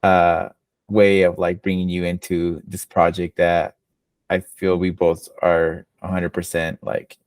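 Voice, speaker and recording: Low-voiced guy hosting a podcast, super laid back, says like a lot, dry close mic. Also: monotone, unhurried, darkish tone, dry recording. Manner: laid-back, filler-heavy, jokey. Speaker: podcast host. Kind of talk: casual interview chat.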